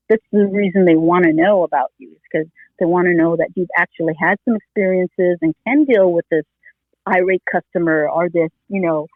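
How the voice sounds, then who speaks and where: A woman's voice: fairly low pitch, dry recording. A middle-aged woman, a retired police officer, giving casual interview advice in a rambling way, full of "you know" fillers and restarts. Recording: tinny phone line.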